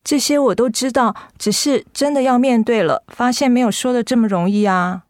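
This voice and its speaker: Woman doing young daughter in a radio play, plaintive and emotional, highish pitch. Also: moderate pace, no echo, studio quality, close to an everyday voice. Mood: upset, pleading. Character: devoted young adult daughter, distressed, reluctant to let go. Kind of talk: Mandarin radio drama acting.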